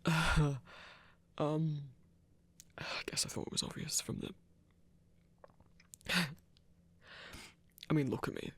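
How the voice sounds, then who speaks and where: A man playing an awkward, protective werewolf hunter who lives rough, speaking hesitantly and breathily in an intimate audio roleplay. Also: a little high, takes it slowly, long pauses, a bit bright, in a whisper, full character voice. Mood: embarrassed, self-deprecating. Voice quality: breathy, husky. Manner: hesitant, self-effacing, stammering.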